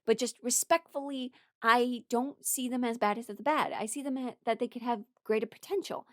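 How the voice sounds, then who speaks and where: A young adult woman rambling casually through her opinions as a video creator, expressive and emphatic, blunt but apologetic. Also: a little high, brisk, no room sound, clean studio sound. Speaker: video creator ranking characters.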